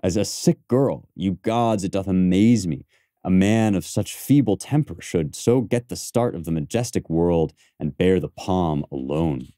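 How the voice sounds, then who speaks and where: A man's voice: sits low, expressive, a little slow, dark tone, dry recording, clean studio sound. A man playing a proud, resentful Roman conspirator in emphatic, rhetorical Shakespearean verse. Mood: scornful, incredulous. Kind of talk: dramatic Shakespearean verse recitation.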